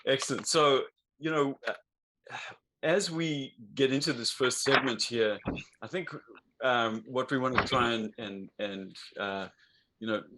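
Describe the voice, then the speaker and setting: Male interview host, mid-pitched voice, measured delivery with fillers, heard over a video call. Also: noisy background. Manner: measured, with frequent fillers and restarts. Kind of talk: conversational interview hosting.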